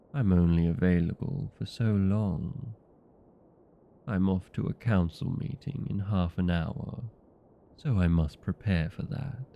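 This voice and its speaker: A courteous, proud old vampire played by a man speaks in a deep, creaky voice. He takes his time, with formal and genteel phrasing suited to a comedic audio roleplay. The sound is slightly dull and lo-fi. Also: exaggerated voice.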